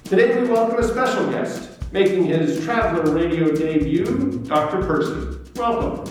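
A man's voice: high voice, lively intonation, moderate pace, faint music behind. A man hosting a radio show, reading out a scripted introduction. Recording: very echoey room, distant microphone.

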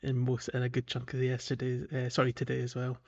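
A man's voice: mid-range voice, monotone, quick pace, muffled recording. A man co-hosting a podcast, chatting casually about a video game in an easygoing way. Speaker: podcast co-host.

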